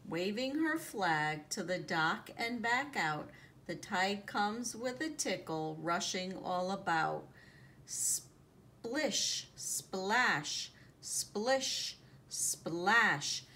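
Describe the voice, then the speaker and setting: A woman reading a children's picture book aloud in an expressive, deliberate storytelling manner, drawing out the sound words. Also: mid-range voice. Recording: noticeable background noise, home recording.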